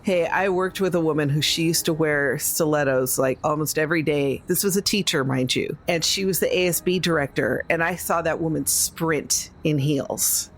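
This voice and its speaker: A woman's voice: low-pitched, moderate pace, few pauses. A woman chats casually during a tabletop roleplaying game. Some noise can be heard in the background. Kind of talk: casual chat.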